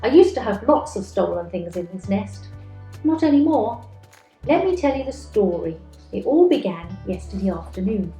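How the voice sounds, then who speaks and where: A woman's voice: medium pitch, moderate pace, soft background music. Woman playing a chatty magpie in a roomy echo. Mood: chatty, confiding. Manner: expressive, confiding storytelling. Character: reformed thieving magpie, chatty, nosy storybook bird.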